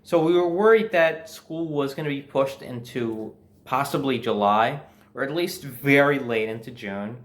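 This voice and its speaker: A young man with an American accent and a medium-pitched voice. He tells a personal story in a casual, conversational way. He leans on certain words for emphasis, like someone recounting events to friends. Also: measured pace. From New Jersey, United States. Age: young adult. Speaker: storyteller, host.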